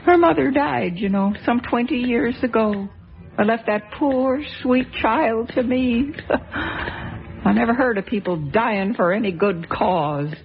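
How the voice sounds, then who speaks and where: A woman's voice: fairly high pitch, takes it slowly, breathy edge, exaggerated voice. An actress playing a stubborn, fussy old lady who scolds in folksy old-fashioned talk, in a radio drama. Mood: anxious. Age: older. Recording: dull lo-fi radio recording with faint music.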